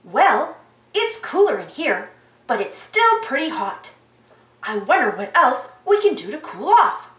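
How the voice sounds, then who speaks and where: A woman reading a children's storybook aloud in an animated, exaggerated, sing-song way for young children. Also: sits high, measured pace. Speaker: storybook reader. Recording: roomy echo.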